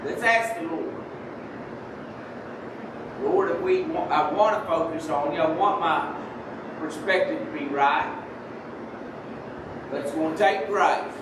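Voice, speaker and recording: Guy preaching softly in a big echoey church, high voice, kinda far from the mic, bit of noise. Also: takes it slowly, long pauses. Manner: soft-spoken preaching, holds his voice down on the mic. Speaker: lay preacher. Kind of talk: sermon. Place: church sanctuary. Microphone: microphone.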